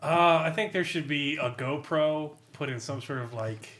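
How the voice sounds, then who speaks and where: A man's voice: fairly high pitch, moderate pace, fairly bright tone. A man brainstorming in a casual group chat, on a slightly noisy recording with clicks.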